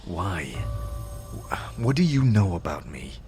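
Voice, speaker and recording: A man's voice: low voice, big pitch swings, takes it slowly, darkish tone, faint music behind. A man delivering scripted dramatic narration and dialogue as narrator and lead of a fantasy game audio series.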